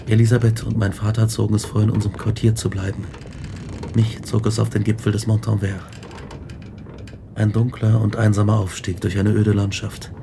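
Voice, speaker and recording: A guilt-haunted scientist narrates his tale in a radio play. The voice is gravelly and measured with very little melody, grave and brooding. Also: lowish voice, background noise. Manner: grave, brooding, literary delivery. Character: tormented male scientist recounting his guilt and dread. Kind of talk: first-person dramatic narration in a radio play.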